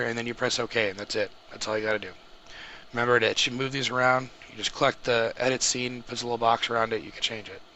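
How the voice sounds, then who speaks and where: A man talking viewers through a software setup step by step in an informal, explanatory way. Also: medium pitch. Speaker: host of a tech tutorial. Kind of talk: casual step-by-step screen tutorial. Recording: clearly noisy home microphone recording.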